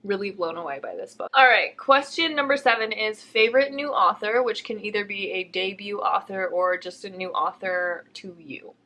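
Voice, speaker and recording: A young woman with a bright, lively-edged voice talks casually as a book vlogger. She is playful and teasing, and gushes freely in an everyday conversational way. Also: mid-range voice, normal speed, few pauses. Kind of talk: casual chat.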